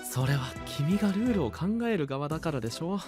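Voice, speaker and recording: A man plays a level-headed young knight from an anime audio drama. His delivery is casual and dryly sceptical, with lively swings of pitch on each retort, over soft background music. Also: brisk, close to an everyday voice. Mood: exasperated, sceptical. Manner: casual, dry questioning, exasperated retorts. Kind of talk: anime-style voice drama acting.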